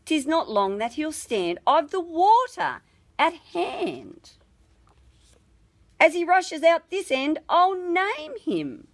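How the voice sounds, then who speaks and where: A woman voicing a jocular, bustling bush priest in an animated, exclamatory storybook reading. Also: very high voice, slow, creaky, voice pushed up, exaggerated voice. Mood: jocular urgency.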